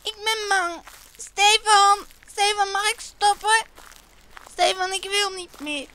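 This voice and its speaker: Frightened little child, squeaky, stammering, drawn-out whimpering, strained voice. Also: female voice, steady tone, pushed and bright, slight background noise, full character voice. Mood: whiny, scared. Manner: whimpering, pleading, repeating words. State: voice straining, nearly gone. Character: timid scared little child, whiny and clingy. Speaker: guest gamer. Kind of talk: comedic let's-play commentary in character.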